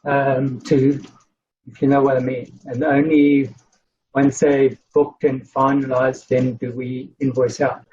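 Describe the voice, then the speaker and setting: A man's voice: medium pitch, flat delivery, slow, a little mellow. A man attending an online session, casually asking questions. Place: remote online meeting. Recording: dull, noisy online call audio.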